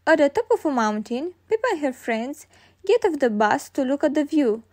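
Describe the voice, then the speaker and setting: A woman reading children's stories aloud in an expressive way, singsong in the refrains. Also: high voice, normal speed. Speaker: children's storybook reader. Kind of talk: read-aloud narration for young children.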